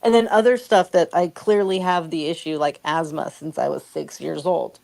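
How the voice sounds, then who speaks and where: Woman cohosting a livestream, kinda low voice, blunt casual chat, no filter. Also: steady tone, moderate pace, creaky, darkish tone. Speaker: live stream co-host.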